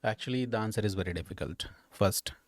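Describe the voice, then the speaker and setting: A man's voice: fairly low pitch, fast, no room sound. A man with an Indian accent explaining exam questions like a teacher, often checking in with "okay" and "right". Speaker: history teacher at exam coaching institute.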